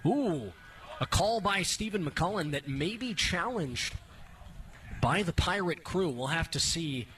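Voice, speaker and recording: Bright-voiced male baseball play-by-play announcer. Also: fairly high pitch, a little slow. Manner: clipped descriptive phrases, rising excitement on action. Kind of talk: radio sports play-by-play commentary.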